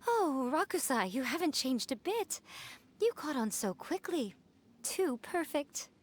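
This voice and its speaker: A woman's voice: high voice, big pitch swings, unhurried, a little mellow. A woman playing a sly, elegant kitsune shrine maiden in a video game, speaking with poised, teasing amusement. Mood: amused teasing. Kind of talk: video game character acting.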